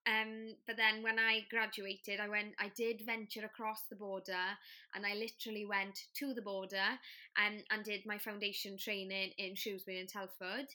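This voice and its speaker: A woman's voice: mid-range voice, level tone, moderate pace, pushed and bright. A young woman with a Welsh accent, a trainee children's doctor, chatting as a guest and telling stories with plenty of fillers. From Wales. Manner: friendly, chatty storyteller with many fillers. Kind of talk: casual interview chat.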